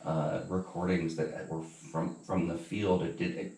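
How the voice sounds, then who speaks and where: Low voiced guy doing a casual interview, keeps rewording stuff, echoey and a bit far off. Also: flat delivery, measured pace, darkish tone. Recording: roomy echo, distant from mic.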